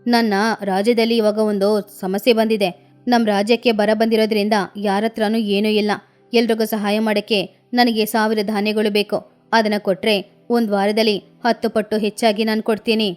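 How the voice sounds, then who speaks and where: A woman's voice: mid-range voice, flat delivery, normal speed, darkish tone, clean tone, soft background music, pitched below the performer's own voice, close to an everyday voice. A woman voicing a pompous, self-assured male peacock landlord in a children's cartoon story. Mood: grand, formal.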